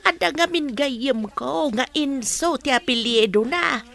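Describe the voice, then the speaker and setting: A woman's voice: high voice, a little slow, gravelly, fairly bright tone, exaggerated voice. An actress playing a chatty, deferential older village woman in a radio drama, with an Ilocano accent. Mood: revealing, intent.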